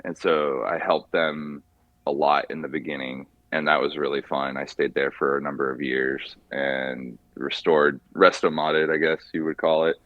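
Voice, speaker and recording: A man chats informally as a guest. He tells his story in a halting, rambling way. The sound is dry and slightly dull. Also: extremely low pitch, flat delivery, no room sound. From California, USA. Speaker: podcast guest, car mechanic by trade. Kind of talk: casual chat.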